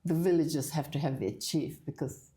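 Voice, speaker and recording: An older woman from Fiji, talking in a halting, hesitant way as a guest in a casual church interview. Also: low-pitched, fairly even intonation, warm tone. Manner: hesitant, halting with many restarts.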